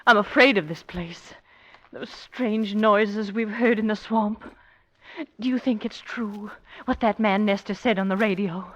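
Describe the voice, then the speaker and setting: Anxious, submissive female companion: hesitant, pleading, medium pitch, muffled vintage radio drama sound. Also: measured pace, a bit bright. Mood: frightened, pleading. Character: Amy, frightened, pleading, timid companion of Hugo. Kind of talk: 1940s radio acting. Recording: dull, muffled 1940s radio recording.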